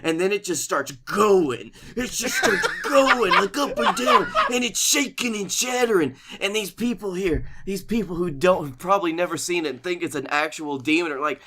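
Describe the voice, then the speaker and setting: Bright-voiced man, slightly high. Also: big pitch swings, normal speed, hardly any pauses, a little hiss or hum. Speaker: comedy podcast co-host. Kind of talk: casual comedic banter.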